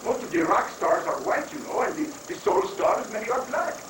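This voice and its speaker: A man's voice: very high voice, sing-song, moderate pace, exaggerated voice. A man playing a cheerful, evasive wandering foreign guru obsessed with rock, rambling and mock-pious in a comic stage reading. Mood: chatty, proud. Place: echoey room, mic at a distance. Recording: noisy 1980s live recording, echoey, distant mic.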